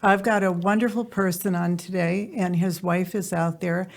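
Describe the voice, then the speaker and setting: A woman hosting an informal interview show, effusive and quick to interject with reactions. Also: fairly low pitch, fairly even intonation. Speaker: podcast host.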